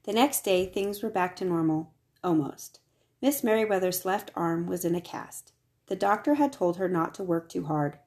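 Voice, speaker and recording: A woman reading a children's picture book aloud as scripted narration, with expressive delivery. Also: lowish voice, normal speed. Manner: expressive, acts out the dialogue. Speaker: storybook reader.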